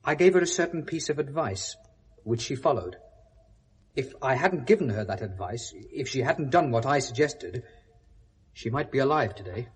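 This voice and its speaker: A man's voice: mid-range voice, expressive, moderate pace, slightly rough. An actor playing a troubled, earnest and guilt-ridden educated British man in a 1970s radio drama. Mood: brooding, subdued.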